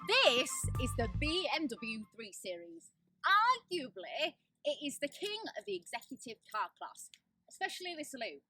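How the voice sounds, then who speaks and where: A woman's voice: high-pitched, very animated, normal speed, bright, forceful tone, distant mic, faint music behind. A young woman with a Yorkshire accent giving a chatty, opinionated car review from inside the car while driving. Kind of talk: casual on-camera car review.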